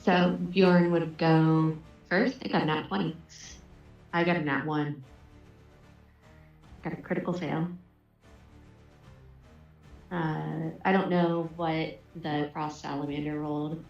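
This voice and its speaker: Very echoey, low-voiced woman gamer. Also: flat delivery, takes it slowly, creaky, pauses often, faint music behind. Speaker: tabletop game player. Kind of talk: casual game table talk. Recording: very echoey, dull room sound.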